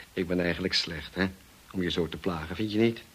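Very husky, low-voiced brusque gentleman. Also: full character voice, low-quality recording. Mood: teasing. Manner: dry, commanding, clipped questioning. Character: brusque, teasing, moody gentleman suitor. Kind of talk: Dutch radio drama acting.

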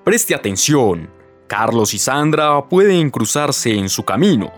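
A man's voice: mid-range voice, big pitch swings, takes it slowly. A man narrating a radio soap opera in a dramatic, scripted style. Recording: music under the voice.

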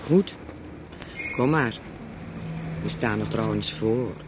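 A woman's voice: rumbling low, slow pace, background noise. An actress in a radio play as a worldly, flirtatious Parisian woman of the night, teasing and nonchalant, with a wry edge. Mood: bright, teasing.

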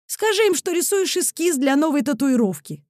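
A woman narrator plays an easily flustered woman in her late twenties from an audiobook romance. She is touchy and exclaims indignantly. Her pitch is on the high side and the sound is dry. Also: very animated, normal speed, darkish tone, dry recording.

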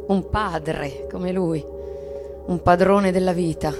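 Low, slow-spoken, yearning wife, over music. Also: female voice, steady tone. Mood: bitter, confessional. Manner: slow, hushed, deliberate delivery with long pauses. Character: bitter, yearning bourgeois wife confessing shame and submission. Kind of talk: dramatic stage reading for radio. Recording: music and sound design behind.

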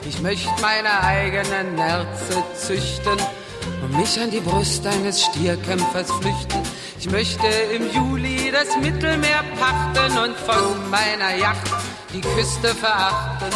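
A woman delivers a cabaret song, moving between singing and speech. Her phrasing is slow and unhurried. The sound is echoey, with loud instrumental music around her. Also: medium pitch. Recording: echoey music track with long reverb. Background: loud musical accompaniment.

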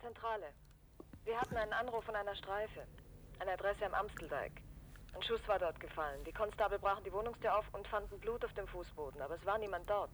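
An actress playing a police dispatcher reporting factually over a radio link in a crime drama. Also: female voice, medium pitch, fast talker, a little hiss or hum, poor audio. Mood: matter-of-fact. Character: matter-of-fact police control room dispatcher.

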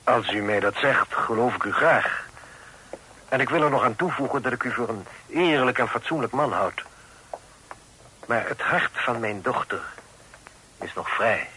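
Grave middle-aged father, courteous and solemn, bright-toned voice, noisy vintage radio play. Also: mid-range voice, expressive, measured pace, pauses often. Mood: grave, worried. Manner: formal, solemn, old-fashioned phrasing. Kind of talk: vintage Dutch radio drama acting.

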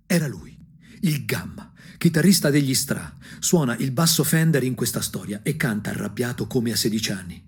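A man from provincial Tuscany narrating a theatrical radio monologue, husky, dramatic and ironic, dropping into whispers. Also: medium pitch. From provincial Tuscany, Italy. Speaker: narrator and actor.

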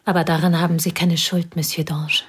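A woman playing a reserved schoolteacher, the dead wife's confidante, in a radio play, speaking in a calm, measured way. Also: on the low side, husky. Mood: restrained. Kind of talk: radio drama acting.

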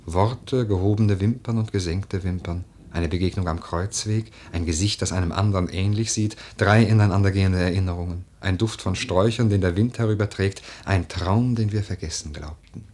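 An actor playing a brooding, eloquent, lordly widower in a literary radio play, with lyrical, deliberate, declamatory delivery. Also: male voice, low-pitched, darkish tone, slightly rough. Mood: wondering, pensive. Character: brooding, eloquent widower, poetic and lordly, drawn to a young woman.

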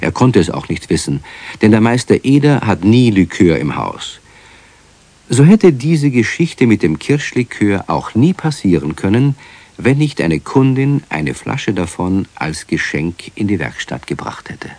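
An actor as the friendly narrator of a children's radio play, telling the tale in a measured, expressive way. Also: male voice, fairly low pitch, dry recording, exaggerated voice. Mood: knowing, friendly. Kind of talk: scripted children's story narration.

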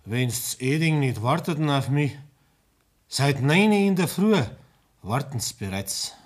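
An actor with a Bavarian accent playing an aggrieved, accusing farmer in a radio play. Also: male voice, medium pitch, animated, takes it slowly. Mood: grumbling, accusing. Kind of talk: 1960s Bavarian radio comedy-drama dialogue.

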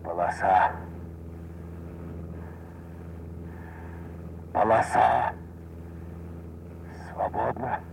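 An actor playing a gravely wounded, stubborn bomber commander in an audio drama, speaking in halting single words. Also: male voice, fairly low pitch, full character voice. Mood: strained, determined. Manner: halting one-word utterances. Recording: faint aircraft hum behind.

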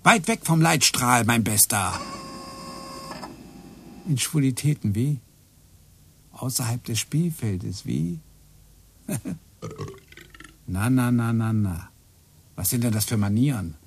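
A brooding computer engineer in a radio play. He mutters to himself in a gravelly voice with a lively, swinging pitch. He grows more and more agitated as he talks. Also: slow pace, long pauses, a little hiss or hum.

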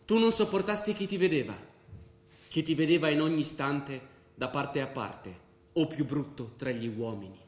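A man's voice: on the high side, normal speed, close to an everyday voice. Man as solemn poetic narrator, echoing room. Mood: solemn, accusing. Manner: solemn, incantatory. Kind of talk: poetic radio theatre narration. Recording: roomy theatre sound, faint music. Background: faint music.

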